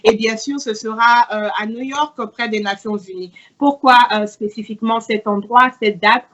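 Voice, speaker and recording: A woman's voice: a little high, steady tone, moderate pace, fairly bright tone, dull audio. A woman hosting an interview, asking polite questions with some hesitation and fillers. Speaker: interviewer, host.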